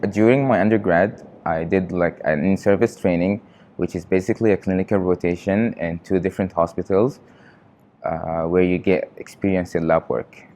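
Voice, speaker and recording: A young man from Qatar, a research trainee, answering interview questions in an earnest, halting way with frequent pauses. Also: low voice, steady tone, a little mellow. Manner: earnest, halting, often pausing on fillers.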